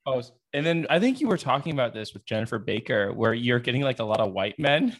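A man's voice: medium pitch, animated, fast talker, a bit bright. A man co-hosting a podcast, chatting in an informal, enthusiastic way. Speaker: podcast co-host. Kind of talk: casual chat.

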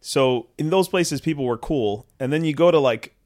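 A man's voice: on the high side, moderate pace, a little mellow. A young man from Canada, co-hosting a podcast, telling a long anecdote in casual chat, laughing often and quoting people. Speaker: podcast co-host.

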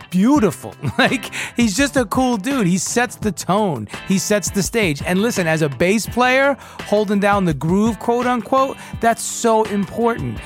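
A man hosting a podcast, speaking in an enthusiastic, laid-back voice-over. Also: sits high. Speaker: podcast host. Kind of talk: recorded voice-over outro. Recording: music bed behind.

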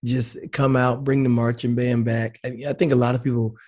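A man co-hosting a casual sports show, relaxed and laid-back, his voice slightly raspy and scratchy. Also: medium pitch, moderate pace. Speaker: co-host, former player.

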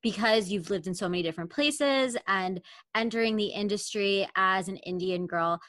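A woman's voice: medium pitch, normal speed, fairly bright tone, no echo. A woman in a casual chat asking a long, thoughtful question.

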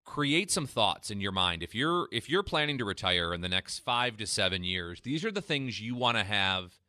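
A man hosting a retirement finance radio show, pitching a free tax analysis and explaining when to claim benefits. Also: very animated, moderate pace, pushed and bright, dry recording, clean studio sound. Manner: persuasive sales pitch, then explanatory. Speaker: financial advisor radio host.

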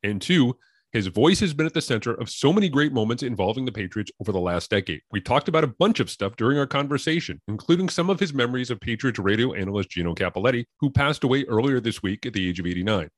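A man's voice: medium pitch, lively intonation, quick pace, no echo, clean studio sound. A man hosting a sports podcast, delivering scripted intros and interview questions.

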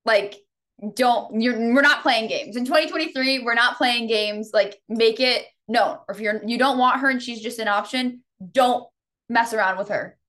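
A woman's voice: fairly high pitch, normal speed, a bit bright. A woman in her twenties, a guest on a podcast, chatting casually in a laid-back, frank way. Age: 20s.

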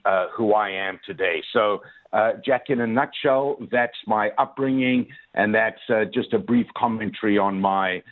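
A man in his sixties, a motivational speaker, talks about his own past on a radio show. His voice sits on the low side and comes through a thin, tinny phone line. He is earnest and pauses often on "uh". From South Africa. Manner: earnest, many "uh" fillers, addresses the host by name. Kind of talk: radio interview, personal storytelling.

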